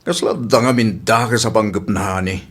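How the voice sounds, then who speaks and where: A man's voice: on the low side, normal speed, husky. A man playing a faithful, uneasy husband and employer in a domestic drama, earnest and complaining. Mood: uneasy complaint. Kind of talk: domestic audio drama acting.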